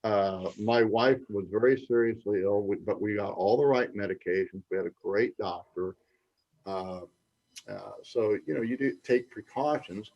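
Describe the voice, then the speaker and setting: Old guy in his 80s, getting over being sick, rambles then gets loud and worked up, dull audio. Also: lowish voice, moderate pace. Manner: rambling, restarts, rises to emphatic outbursts. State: recovering from covid, clears throat. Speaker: newsletter host. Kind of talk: casual chat. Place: Mexico's Baja coast.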